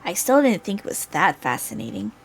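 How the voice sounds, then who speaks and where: A woman voicing a reflective older man who narrates a visual novel in a measured, wistful way. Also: medium pitch, big pitch swings, creaky, husky, exaggerated voice. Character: reflective older male lawyer, a wedded war veteran.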